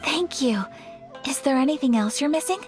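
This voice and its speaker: A woman's voice: high-pitched, animated, normal speed, music in the background. A refined young noblewoman. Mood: gracious. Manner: formal, gracious, soft-spoken. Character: gentle, refined young noblewoman, polite and earnest. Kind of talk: game voice acting.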